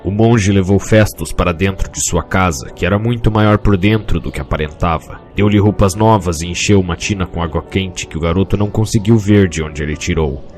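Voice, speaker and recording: A man's voice: sits low, a little fast, hardly any pauses, a little mellow, faint music behind. A man reading a scripted fantasy tale as narrator, in a solemn, literary manner.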